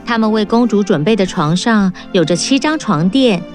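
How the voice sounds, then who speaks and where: A woman narrating a children's fairy-tale cartoon in a calm, even storytelling manner. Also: medium pitch, moderate pace, soft background music.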